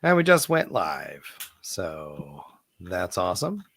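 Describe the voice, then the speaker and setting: A man, hoarse from illness, hosting a casual live video-call hangout and rambling with many ums and uhs. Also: sits low, wildly swooping pitch, takes it slowly, fairly bright tone, no echo. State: hoarse, sick, partly lost voice. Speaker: host of a live online horror movie hangout. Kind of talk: casual live-stream chat.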